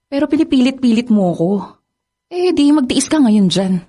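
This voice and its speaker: A woman's voice: high voice, lively intonation, moderate pace, mellow. A young woman acting a cold, evasive girlfriend in a radio drama, speaking in a curt, dismissive way. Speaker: girlfriend character.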